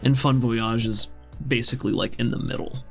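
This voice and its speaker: Gravelly man running a tabletop game. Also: mid-range voice, steady tone, moderate pace. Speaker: game master. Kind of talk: narrating a tabletop role-playing game. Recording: music under the voice. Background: background music.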